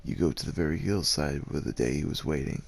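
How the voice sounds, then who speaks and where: Very deep, gravelly male story narrator. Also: quick pace. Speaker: narrator, voice actor. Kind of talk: scripted second-person story narration for ASMR.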